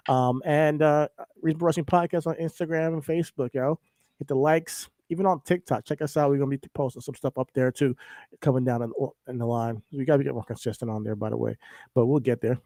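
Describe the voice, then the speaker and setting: A man from New with a cold, his voice a little raspy, laid back and laughing often as he co-hosts a casual wrestling podcast. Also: mid-range voice, steady tone, fast, mellow, dry recording. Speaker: podcast co-host.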